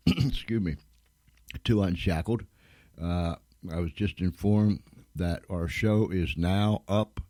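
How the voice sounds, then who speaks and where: A male radio host with a very deep voice and a slow, halting delivery. He rambles casually between programmes, close and dry in the recording. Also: mellow, dry recording.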